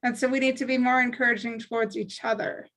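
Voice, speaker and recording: A woman's voice: a little high, flat delivery, fast, fairly bright tone. Earnest woman explaining on a call. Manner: earnest, heartfelt, speaks in long explanations. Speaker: writer and table read cast member. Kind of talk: casual group discussion.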